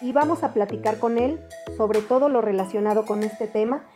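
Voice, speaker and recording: A woman's voice: on the high side, normal speed, over music. A woman hosting a podcast, introducing and questioning a guest in a polished, welcoming way. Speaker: podcast host. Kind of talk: hosting an interview.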